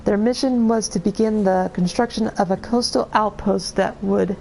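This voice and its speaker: A woman streaming a video game, reading in-game lore aloud in a halting way with many restarts, her voice going hoarse. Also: medium pitch, steady tone, mellow, a little hiss or hum, poor audio. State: losing her voice. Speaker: gameplay streamer.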